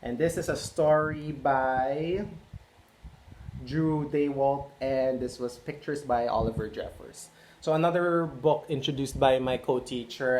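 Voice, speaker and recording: A reader talking gently to children, reading a picture book aloud and asking them questions. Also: medium pitch. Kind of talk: read-aloud for kids. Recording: roomy echo with faint noise.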